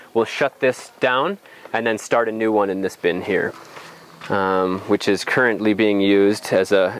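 A man's voice: fairly low pitch, slight background noise. A young adult man explains things in an informal outdoor tour, thinking aloud with some hesitation, on a lapel mic. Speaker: farm guide. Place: outdoors on a farm.

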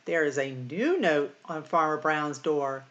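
A middle-aged woman hosting a library storytime, reading picture books aloud in a deliberate, expressive way for young children. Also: deep voice, muffled recording. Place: small room at home or office, indoors.

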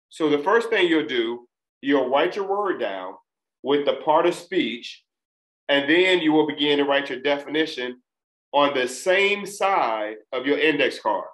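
A man of Trinidadian family teaching a recorded test prep lesson, speaking deliberately and enunciating each syllable. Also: a little high, animated, a bit bright. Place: small room, indoors. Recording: echoey room.

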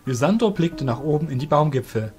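A man's voice: fairly high pitch, expressive, a little fast, a little mellow. A man narrating a fantasy audio drama in a scripted storytelling style.

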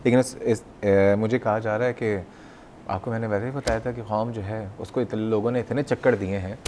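A man's voice: lowish voice, fairly even intonation, a little fast, darkish tone. A man in his thirties with a Pakistani accent, chatting courteously as host of a live TV call-in show. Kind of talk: conversational live TV hosting. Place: TV studio at a desk. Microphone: lapel mic. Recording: clear background noise.

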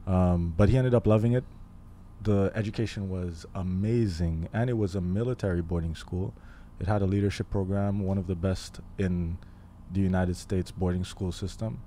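Low dark-voiced young man from Haiti. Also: fairly even intonation, slight background noise. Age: 20s. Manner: thoughtful, pauses often with fillers. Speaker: guest. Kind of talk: casual interview. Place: living room podcast set. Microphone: studio mic on boom arm, headphones.

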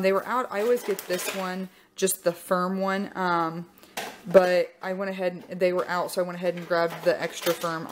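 A young woman talks through her groceries in a casual, chatty way, with frequent "um" pauses. Her voice sits a little low. It was recorded on a camera mic at a kitchen table, with a faint hiss behind her. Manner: chatty, upbeat, many "um" pauses. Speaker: vlogger showing a grocery haul. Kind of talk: casual chat. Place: home kitchen, indoors.

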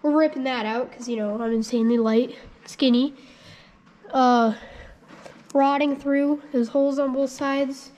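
A woman's voice: sits high, steady tone, a little slow, darkish tone. A woman hosting a casual walkthrough vlog, thinking aloud in a rambling, unsure way with frequent ums. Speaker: channel host.

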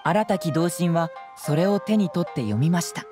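A woman's voice: fairly low pitch, lively intonation, moderate pace, music in the background, natural voice. A woman voicing a clever, playful young male detective in a video game, presenting and narrating in a polite theatrical way. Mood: storytelling.

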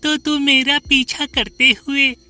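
A man as a very high, piercing young woman. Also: flat delivery, takes it slowly, sharp tone, faint music behind, full character voice. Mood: stern, commanding. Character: stern young woman guarding a magical secret city.

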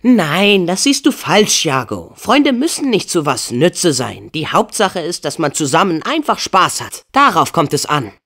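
A man's voice: a little high, sing-song, measured pace, hardly any pauses, pushed and bright, full character voice. A young man voicing a plucky, kind-hearted teenage boy hero in a video game dub, earnest and spirited, with casual youthful phrasing.

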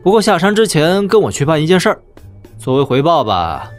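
A man's voice: a little high, big pitch swings, brisk, faint music behind. Proud, brooding young swordsman lord. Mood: sardonic, teasing. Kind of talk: Chinese wuxia audio drama acting.

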